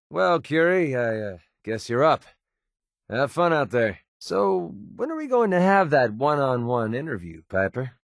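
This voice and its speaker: A man's voice: medium pitch, no room sound, studio quality. An actor playing a sardonic young male mercenary in a video game, with an American accent and a casual, grumbling way of talking. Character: wry young male mercenary sniper in a post-apocalyptic wasteland. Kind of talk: video game companion dialogue.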